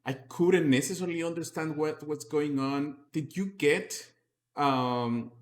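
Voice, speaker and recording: A man's voice: on the high side, a little slow. A man who is not a native English speaker, hosting a casual interview and asking curious follow-up questions, often repeating words as he starts. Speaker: podcast host.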